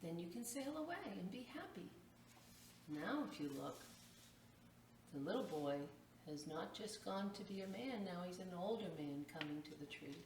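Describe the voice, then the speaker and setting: A woman hosting storytime, reading a picture book to children and explaining it in simple phrases. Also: on the low side. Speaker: library storytime host. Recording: echoey room, distant mic, faint noise.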